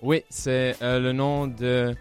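A man's voice: mid-range voice, level tone, takes it slowly, clear, resonant tone, faint music behind. Hesitant young Flemish-accented man. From Belgium. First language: Dutch. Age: young adult. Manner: hesitant, many fillers. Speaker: student radio guest. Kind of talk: interview answers.

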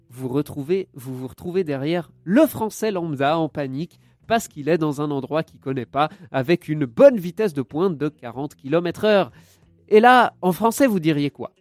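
A man with Italian as a first language alongside French talks in a joking, chatty way, drifting into asides. His voice sits fairly high. It is recorded close and dry, with faint music playing underneath. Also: darkish tone, no room sound. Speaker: podcast co-host. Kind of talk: casual radio-show chat.

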